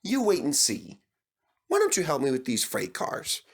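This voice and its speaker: A man voicing a kindly, reassuring male steam engine in a storybook, gentle and encouraging. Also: a little high, wildly swooping pitch, normal speed, vocal fry, slightly rough, full character voice.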